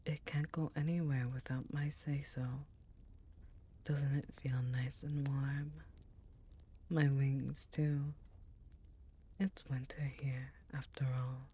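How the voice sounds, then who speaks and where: A woman voicing an ancient succubus queen, tender and soothing, in a soft, breathy, intimate ASMR roleplay. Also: rumbling low, level tone, heavily acted. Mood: protective, cozy. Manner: slow, intimate, reassuring.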